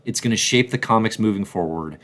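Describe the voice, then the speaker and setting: A man's voice: fairly low pitch, fairly quick, a bit bright. A man hosting a live show, chatting and commenting casually in an enthusiastic, emphatic way.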